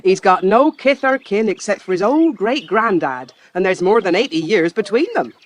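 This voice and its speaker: A woman's voice: medium pitch, expressive, normal speed, dry recording, lightly acted. A woman playing a kindly farmer's wife who tells old tales in a folksy way in an audio drama. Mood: matter-of-fact, pitying.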